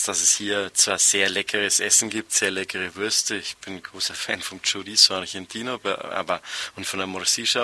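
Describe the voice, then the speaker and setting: Ein Mann aus Nürnberg erzählt als Studiogast frei und stockend, mit vielen gefüllten Pausen. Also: male voice, low-pitched, bright, forceful tone. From Nuremberg, Germany. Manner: hesitant, halting, frequent filled pauses. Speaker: interview guest, restaurant co-founder. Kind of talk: spontaneous interview storytelling. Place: radio studio.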